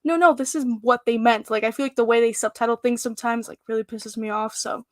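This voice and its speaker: A woman's voice: fairly high pitch, steady tone, quick pace, no room sound, studio quality. Chatty young woman, Spanish first language. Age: 20s. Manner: rambling, heavy use of "like". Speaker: guest. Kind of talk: casual chat on a livestream.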